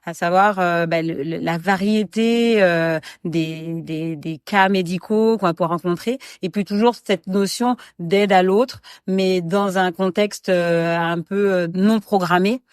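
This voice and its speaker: A woman's voice: on the low side, steady tone, measured pace, hardly any pauses, smooth, no echo, studio quality. A woman doctor talking about her work in a podcast interview, hesitant, with many fillers and restarts. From France, raised in Guadeloupe. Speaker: medical regulator doctor, guest.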